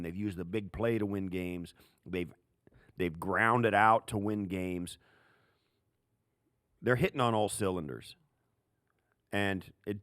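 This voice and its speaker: A man's voice: on the low side, dry recording, studio quality. A man with an American accent co-hosting a football podcast as a former player, casual and emphatic with punchy, repeated phrases.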